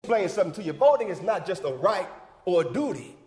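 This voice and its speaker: Actor as high-pitched, fervent civic orator in echoing hall. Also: male voice, very animated, fast talker, darkish tone. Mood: rousing, fervent. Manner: preacherly, emphatic, folksy, rousing cadence. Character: John Wesley Dobbs, fervent older civic leader rallying a church crowd. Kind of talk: theatrical monologue, oratorical speech. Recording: echoey hall with long reverb.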